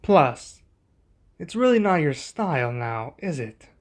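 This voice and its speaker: A man playing a composed, earnest young king in an ASMR roleplay, speaking in a measured, formal way. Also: fairly high pitch, very animated. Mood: businesslike.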